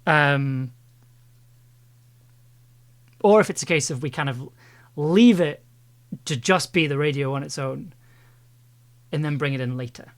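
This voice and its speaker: A man's voice: fairly high pitch, unhurried, pauses often. A man chatting casually on a livestream as an audio editor, thinking aloud while working. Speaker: audio drama editor and streamer. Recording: faint noise, keyboard clicks. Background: keyboard typing and clicks.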